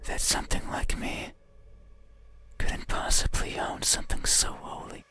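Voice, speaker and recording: A man voicing an eerie, needy monster in a horror game, speaking in a breathy, intimate, halting whisper. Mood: hollow, wistful. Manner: slow, halting, intimate phrasing. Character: eerie, needy bedside monster begging to be looked at. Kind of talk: ASMR-like horror game monologue. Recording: loud music under the voice. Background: music.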